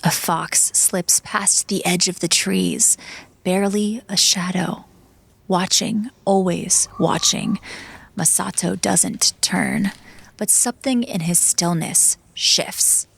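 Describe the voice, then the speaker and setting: A woman narrating a scripted dramatic story in a hushed, solemn, deliberate way, with a creaky edge to her voice. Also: fairly low pitch. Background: background music.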